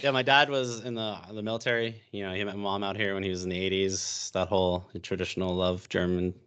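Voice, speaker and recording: Young american guy, lowish voice, chatting on a podcast, lots of um and like. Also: flat delivery, fast talker, a bit bright, clear, resonant tone. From United States. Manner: rambling, many fillers and restarts. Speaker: podcast guest, history YouTuber. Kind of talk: casual podcast chat.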